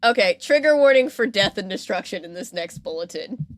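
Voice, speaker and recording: A woman podcast host telling a story in a casual, animated and jokey way. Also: mid-range voice, brisk. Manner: animated, jokey, acts out quotes.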